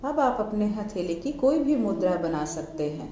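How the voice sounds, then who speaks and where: A woman with an Indian accent guides a meditation, giving measured instructions in short phrases with pauses between them. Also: medium pitch, sing-song, dark tone. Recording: roomy echo, music underneath. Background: music.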